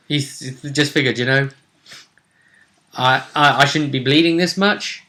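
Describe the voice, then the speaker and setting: A man hosting a casual recap of a tabletop role-play tournament, telling it like a story with deliberate phrasing. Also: medium pitch, bright, forceful tone. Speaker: host.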